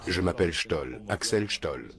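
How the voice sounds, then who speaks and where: A man's voice: sits low, lively intonation, unhurried, crowd noise. A man answering interview questions in a matter-of-fact, assured way.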